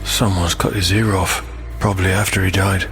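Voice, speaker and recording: A man's voice: low voice, steady tone, moderate pace, fairly bright tone, faint music behind. A man playing a pragmatic, brash male thief in an audio drama, talking bluntly and under his breath. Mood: urgent. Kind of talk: audio drama character dialogue.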